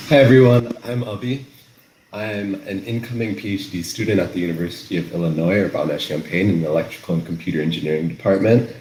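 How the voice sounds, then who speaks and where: Young man, very low voice, earnest, echoey. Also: fast, darkish tone, a little hiss or hum. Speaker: intern. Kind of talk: casual self-introduction.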